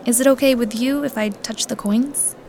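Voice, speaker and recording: An actress playing a courteous, careful coin appraiser who explains things politely and in measured phrases in an audio drama. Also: female voice, on the high side, fairly even intonation, darkish tone, a little hiss or hum, lightly acted. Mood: polite, explaining.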